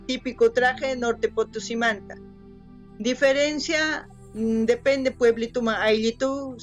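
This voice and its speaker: A woman's voice: a little high, rough recording. Earnest woman, Quechua speaker. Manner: earnest, thoughtful, switching between Spanish and Quechua. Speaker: reaction channel host. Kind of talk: casual reaction commentary.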